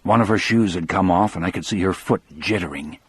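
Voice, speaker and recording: A man narrating a dramatic first-person audiobook as a grief-stricken elderly former prison guard, in a measured, somber way. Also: low-pitched, breathy edge, dry recording. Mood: somber, haunted.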